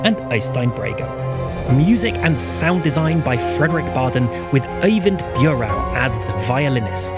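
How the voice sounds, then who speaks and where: A man's voice: medium pitch, very animated, moderate pace, over loud music. A man reading scripted credits as an announcer. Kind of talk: scripted narration.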